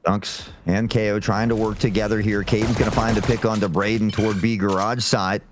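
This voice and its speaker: A man working as an esports caster, calling a live match play by play in a measured, orderly way. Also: lowish voice, flat delivery, noisy background.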